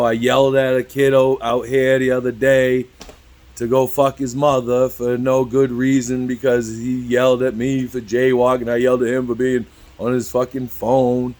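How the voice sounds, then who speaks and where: Rambling fifty-something man, low voice. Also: darkish tone. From Cambridge. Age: 50s. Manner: halting, repeats "you know" constantly, swears freely. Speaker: YouTube vlogger. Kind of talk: rambling candid talk to camera.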